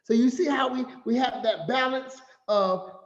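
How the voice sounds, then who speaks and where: A man giving a finance talk as an animated, playful storyteller who acts out quotes. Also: very high voice, normal speed. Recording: echoey room, slightly dull sound.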